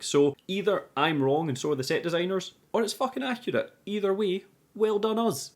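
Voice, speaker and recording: A British man narrating a scripted film recap with casual, sweary, sarcastic commentary. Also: medium pitch. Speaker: film reviewer, channel host.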